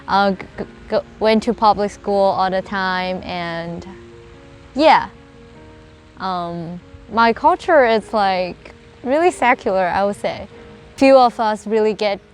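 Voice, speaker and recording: A young woman from China, speaking English as her second language, answers interview questions slowly and hesitantly. She pauses often and restarts her sentences. Her voice sits at a middle pitch, with faint music playing underneath. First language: Chinese. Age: young adult. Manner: hesitant, many fillers and restarts. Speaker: interviewee, college student.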